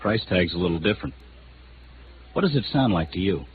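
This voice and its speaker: A man's voice: deep voice, lively intonation, a little fast. An actor playing a harried, blunt insurance manager fretting his way through a vintage radio drama. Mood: worried. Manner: fretful, blunt. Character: worried, harried male insurance company manager. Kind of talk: 1950s radio drama acting. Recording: tinny, noisy vintage radio recording.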